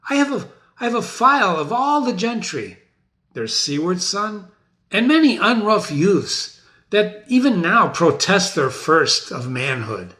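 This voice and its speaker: A man's voice: high voice, sing-song, a little slow, sharp tone, pitched above the performer's own voice, exaggerated voice. A man voicing vengeful rebel noblemen rallying before battle, declaiming in a dramatic reading of a stage tragedy. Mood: urgent, martial.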